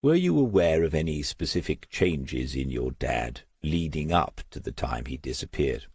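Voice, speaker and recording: A man's voice: extremely low pitch, sing-song, moderate pace, darkish tone, slightly rough, no echo, exaggerated voice. A man voicing a wry, patient older detective in an audiobook, dry and probing in manner. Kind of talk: audiobook character dialogue.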